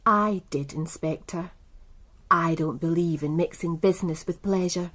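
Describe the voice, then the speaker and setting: Poised, low-voiced English businesswoman. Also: unhurried, fairly bright tone. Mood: cool, knowing. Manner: composed, articulate, coolly self-assured. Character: poised, proud, worldly businesswoman running a bookmaking empire. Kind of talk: British radio crime drama acting.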